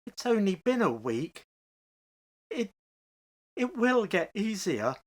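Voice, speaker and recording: A woman playing an anguished elderly parent who tries to reason through grief, pleading and halting in an amateur radio play. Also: medium pitch, sing-song. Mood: gentle, weary.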